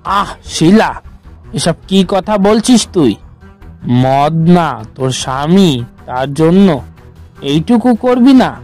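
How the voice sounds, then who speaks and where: A man voicing a grave, cryptic village auto-rickshaw driver in an animated horror story. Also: on the high side, slow, long pauses, soft background music, heavily acted. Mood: chiding. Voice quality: grave-toned.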